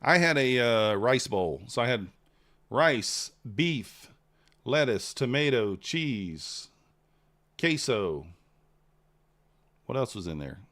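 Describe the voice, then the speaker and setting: A man's voice: mid-range voice, very animated, slow pace, long pauses, a bit bright. Man, livestream trader, casual rambling chat, reads chat aloud.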